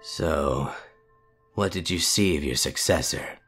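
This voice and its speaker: A man's voice: extremely low pitch, takes it slowly, fairly bright tone, husky, soft background music, exaggerated voice. A man voicing a blunt, wry huntsman in a dramatic story reading, with an informal way of talking. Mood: curious. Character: blunt, wry male huntsman, a worldly friend. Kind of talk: dramatic fan fiction audio reading.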